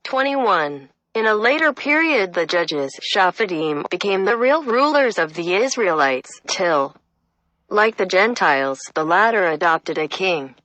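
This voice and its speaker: A woman reading a reference text aloud, deliberate and flat, spelling out citations. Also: fairly low pitch, no room sound, low-quality recording. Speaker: reader.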